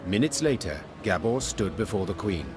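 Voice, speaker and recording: A man playing the storyteller of a fantasy video game, reading scripted narration in a measured, literary way. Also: on the low side, very animated, over music. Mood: solemn.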